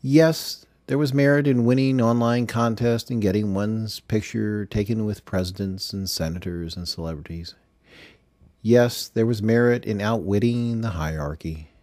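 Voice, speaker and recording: A man reads fiction aloud as a scripted audiobook narrator, in a measured way with the odd stumble. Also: lowish voice, a little mellow, no room sound, clean studio sound.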